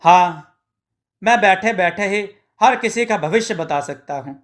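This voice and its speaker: A man's voice: sits high, lively intonation, a little slow, a bit bright. A man voicing a male beggar and small-time con man in a moral story, ingratiating and persuasive. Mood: boastful.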